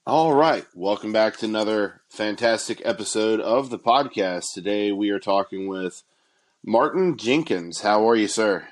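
A man's voice: lowish voice, expressive, moderate pace. A man hosting a podcast, asking questions in a friendly, slightly hesitant way. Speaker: podcast host. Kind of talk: casual podcast interview.

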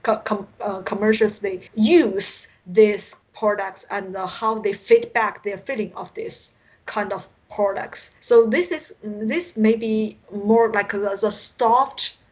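A woman's voice: medium pitch, fairly even intonation, a little mellow. Chinese woman on a skype interview, mandarin accent, stop-start, keeps repeating bits while hunting for the word. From China. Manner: halting, searching for words, repeats herself. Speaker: science fiction author, interview guest. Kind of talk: conversational interview answers. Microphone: Skype call. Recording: recorded over an internet call.

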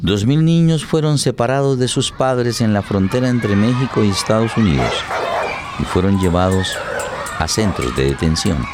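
A man's voice: on the low side, expressive, normal speed, very noisy. A man narrating a radio play in a dramatic, scripted style. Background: music.

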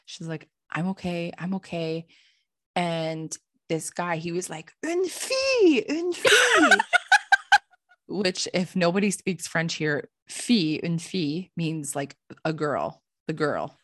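A young woman with a Canadian accent, telling a story casually in a blunt, sweary, animated way and acting out the dialogue. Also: deep voice, unhurried, slight background noise.

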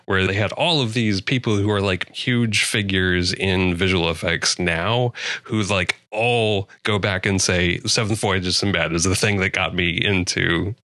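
Deep-voiced male podcast host. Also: measured pace, dry recording, clean studio sound. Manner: relaxed, self-deprecating, laughs often. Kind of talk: casual chat.

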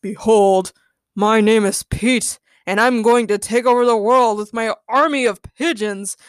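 A woman storyteller voicing a pompous male villain with a grandiose, drawn-out delivery. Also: on the high side, clear, resonant tone, no echo, clean studio sound, lightly acted.

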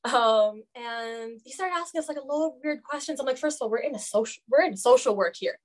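A woman's voice: high voice, fairly quick. A young woman talking as a student guest in a casual podcast roundtable, speaking candidly with a lot of "like" fillers. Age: young adult. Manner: candid, chatty, many "like" fillers.

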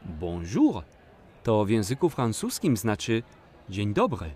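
A man's voice: lowish voice, huge pitch swings, slow, darkish tone, heavily acted. An actor playing a kindly, patient man who explains and encourages as a guide in a children's audio play. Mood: encouraging.

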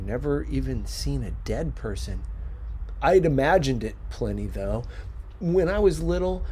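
A man reading a novel aloud as expressive scripted narration, with measured, dramatic phrasing. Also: mid-range voice. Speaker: audiobook-style reader. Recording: faint background noise.

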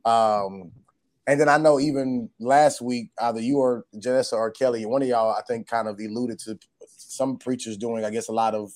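A man hosting a casual podcast chat, his voice hoarse, often saying "gotcha" and "mm-hmm" as he talks. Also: medium pitch, steady tone, moderate pace, darkish tone. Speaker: podcast host.

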